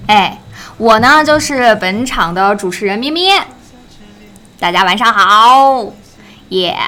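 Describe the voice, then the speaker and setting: A woman's voice: a little high, expressive, very slow, pauses often, pushed and bright, soft background music. A woman hosting a live show in a bubbly, encouraging manner. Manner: bubbly, cheering the guests on. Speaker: host of a live fan event.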